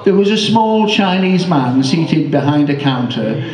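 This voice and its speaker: A man performing his own comic rhyming poem as a measured storyteller. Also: on the high side, lively intonation, darkish tone. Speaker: poet performing own work. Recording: echoey room, distant microphone.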